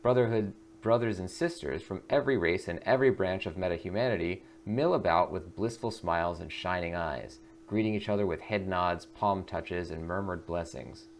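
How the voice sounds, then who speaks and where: A man narrates a video game as its host, mixing storytelling with casual asides. His voice is low and gravelly with animated intonation, and there is faint noise behind it. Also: moderate pace, few pauses.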